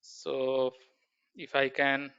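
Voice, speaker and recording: A man who trains students in warehouse software and runs an online class. He talks haltingly over a video call and uses many fillers. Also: medium pitch, level tone, vocal fry, clear, resonant tone. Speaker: SAP trainer. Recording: dull, muffled video call audio.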